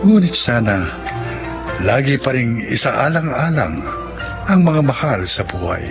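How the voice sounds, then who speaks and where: An actor as an earnest radio drama narrator giving counsel, with a Filipino accent and dramatic delivery. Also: male voice, medium pitch, big pitch swings, unhurried, creaky. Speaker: narrator giving the closing moral. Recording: dull lo-fi radio sound, music underneath.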